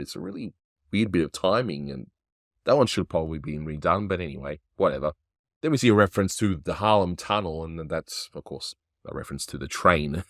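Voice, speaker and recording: A man hosting a casual cartoon review, talking in a relaxed, offhand way and chuckling often. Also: low-pitched, no room sound, clean studio sound. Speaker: animation reviewer, host.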